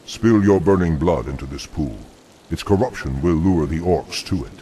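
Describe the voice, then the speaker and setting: An actor voicing a scheming demonic dreadlord in a game cinematic, a sly, sinister tempter with a measured, insinuating delivery. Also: male voice, mellow, slight background noise, natural voice. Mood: sinister, persuasive. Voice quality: very deep, gravelly. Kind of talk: video game cinematic acting.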